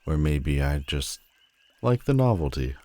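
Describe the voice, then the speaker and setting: A man playing a menacing, world-weary telepathic supervillain in an audio roleplay, theatrical and mocking, with deliberate dramatic pauses. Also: rumbling low, sing-song, mellow, exaggerated voice. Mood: wry, curious. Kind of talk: scripted audio roleplay addressed to the listener.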